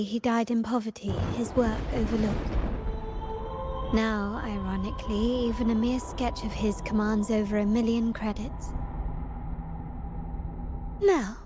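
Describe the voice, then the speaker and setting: A woman acting as an enigmatic young lady in a video game cutscene. Her voice is fairly high, with slow, deliberate, formal phrasing, composed and knowing, over clear background music. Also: natural voice. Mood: composed, musing. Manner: measured, formal, deliberate, cryptic.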